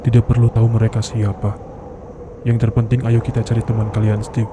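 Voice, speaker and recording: A man playing a calm, commanding mountain tracker who gives firm, cautioning instructions in an animated horror story. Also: lowish voice, fairly quick, natural voice. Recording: noisy background ambience.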